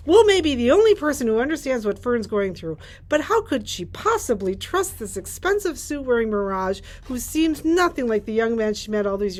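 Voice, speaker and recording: A middle-aged woman with a slightly high-pitched voice recommends novels. She reads their summaries aloud in a librarian's presenting style, recorded on a shared mic in a library room. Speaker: librarian presenting book picks. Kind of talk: reading book blurbs aloud with brief chat. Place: indoors, library room with bookshelves.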